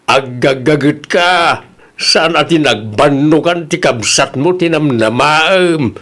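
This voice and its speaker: An actor with an Ilocano Filipino accent playing a stern father in an audio drama, admonishing in a measured way. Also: on the high side, fairly bright tone. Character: stern father admonishing his idle son.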